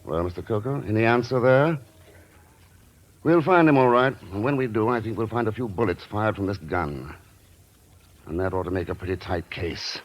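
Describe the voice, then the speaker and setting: An actor playing a wry, unflappable secret agent in a 1940s radio drama, dry and authoritative. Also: male voice, fairly low pitch, expressive, moderate pace. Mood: cool, assured. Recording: muffled, noisy vintage radio recording.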